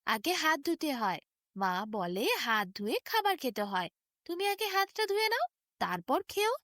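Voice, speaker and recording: Woman voicing a high-pitched sweet little boy. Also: very animated, a little slow, fairly bright tone, dry recording, studio quality, full character voice. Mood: gently instructing. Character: sweet little boy, friendly, hospitable and innocent. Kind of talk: storybook character dialogue.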